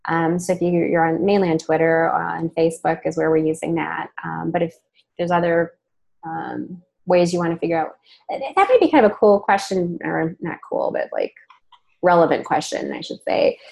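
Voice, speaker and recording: A woman's voice: lowish voice, lively intonation, moderate pace, vocal fry, darkish tone. A woman hosting a webcast over a video call, chatty and informal, with frequent ums and self-corrections. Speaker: webcast host. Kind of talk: casual group discussion.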